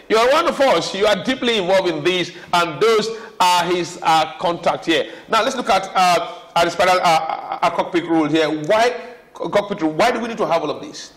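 A man's voice: high-pitched, fairly bright tone, rough recording. A man with a Nigerian accent hosting a TV talk show, rambling and emphatic with many hesitations. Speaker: TV show host.

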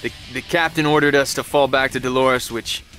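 An actor playing a weary but cocky American infantry soldier, narrating in wry, slangy style for a game cutscene. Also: male voice, medium pitch, steady tone, brisk, fairly bright tone, soft background music, lightly acted. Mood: grim, wry.

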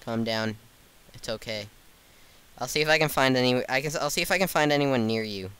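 A man's voice: medium pitch, a little fast, fairly bright tone. A man chatting over voice chat while gaming, teasing and encouraging his friend and laughing often. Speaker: gamer co-host. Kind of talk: casual gameplay commentary.

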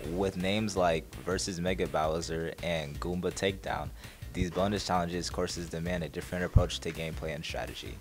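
Very deep male narrator over music. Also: fairly even intonation, moderate pace. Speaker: game segment presenter. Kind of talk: scripted narration.

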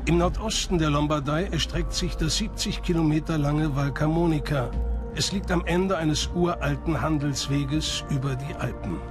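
A man's voice: fairly high pitch, normal speed. A man reading scripted documentary narration. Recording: music behind the voice. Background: background music.

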